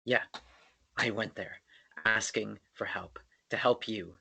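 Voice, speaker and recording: A man playing an earnest, stubborn young man in a dramatic screenplay reading, intense and halting as he pleads. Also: on the low side, steady tone, gravelly, pushed and bright, a little hiss or hum, exaggerated voice. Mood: pained, pleading. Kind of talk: dramatic table read of a romance screenplay.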